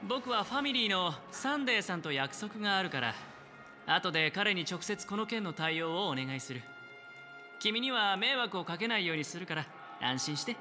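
A flamboyant, self-assured young male gambler from a video game. The voice sits high and swings widely, with sly, deliberate phrasing. Music plays faintly behind it. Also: lively intonation, pushed and bright, exaggerated voice. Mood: persuasive. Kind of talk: Japanese video game voice acting.

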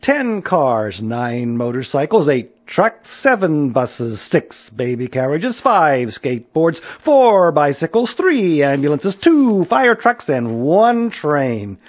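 A man acts out a young boy in a children's tale. He is earnest and plain-spoken, with lively rises and drops in a highish voice. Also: takes it slowly, hardly any pauses, full character voice. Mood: matter-of-fact.

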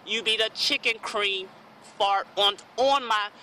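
A man of about thirty recording an earnest, halting, repetitive plea as an amateur vlog outdoors on a phone. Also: high voice, bright, forceful tone. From United States. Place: outdoors in a park. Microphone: phone at arm's length. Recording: outdoor phone video, faint background noise.

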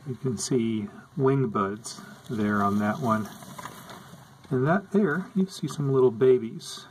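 A man calmly narrating over footage of his pet insect tanks, explaining what they do as he films. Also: medium pitch, slight background noise, low-quality recording. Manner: slow, calm, explanatory. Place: indoors at an insect tank.

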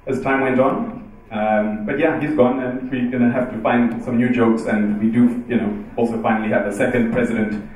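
A man in his thirties from Zimbabwe talks from a stage in a loose, joking manner, filling pauses with "uh" and "um". His voice rings through a big, reverberant hall. The mic sits some way off from him. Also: medium pitch. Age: 30s. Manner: joking, relaxed, with many fillers. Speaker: speaker answering audience questions. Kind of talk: casual talk and Q&A on stage. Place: large dark event hall with audience. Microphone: handheld mic on stage, heard from afar. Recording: echoey hall, distant mic.

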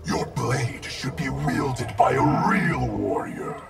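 An actor playing a cold, vengeful undead ninja in a fighting game, with a gravelly, distorted growl and threatening delivery. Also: male voice, medium pitch, slow pace. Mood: defiant. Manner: threatening, declamatory. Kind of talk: fighting game character dialogue. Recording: loud music behind.

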